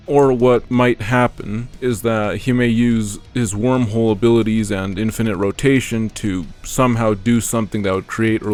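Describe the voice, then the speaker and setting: A man's voice: on the low side, a little mellow. A man reading a scripted explainer narration as a video narrator.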